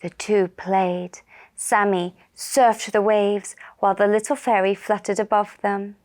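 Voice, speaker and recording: A woman telling a children's puppet story in a gentle, deliberate and soothing manner. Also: mid-range voice, flat delivery. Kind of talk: slow narrated children's story.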